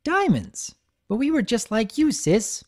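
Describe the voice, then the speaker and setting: A cheeky, bubbly little filly and younger sister, played by a woman reading a story. She chirps high above the narration with a bouncy, singsong lilt. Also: sing-song, unhurried, a little mellow.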